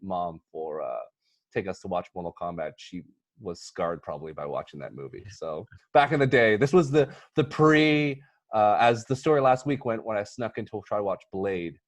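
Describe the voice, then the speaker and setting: A man in his thirties hosting a casual movie podcast, talking in a halting, bantering way and teasing the others. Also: on the low side, expressive, dry recording. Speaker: podcast host.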